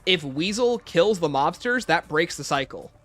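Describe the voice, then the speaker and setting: A man with a fairly high, very animated voice hosts a casual livestream. He reads viewer questions aloud and answers them conversationally, turning aside to chat with the audience. Light music plays behind him. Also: moderate pace. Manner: conversational, breaks off to greet and thank viewers. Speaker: livestream host.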